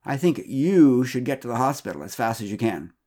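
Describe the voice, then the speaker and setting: A man voicing a brisk, practical mother who takes charge urgently in a children's story. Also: medium pitch, very animated, warm tone. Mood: brisk, firm.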